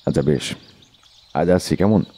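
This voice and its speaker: Calm blunt detective, really deep dark voice, radio play style. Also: male voice. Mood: pensive. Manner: measured questioning, polite but blunt. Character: male detective, calm, probing, authoritative. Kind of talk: Bengali audio detective drama acting.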